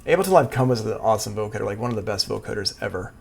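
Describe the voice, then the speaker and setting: Gravelly man giving a casual software tutorial. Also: fairly low pitch, expressive, very fast. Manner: casual, informal. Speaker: music production instructor. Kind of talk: live software tutorial, thinking aloud. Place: indoors, livestream class.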